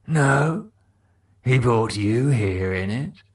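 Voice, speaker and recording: A man's voice: medium pitch, animated, drawn-out words, far from the mic. A man voicing a mocking dead man who goads and sneers. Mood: taunting. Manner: taunting, sneering.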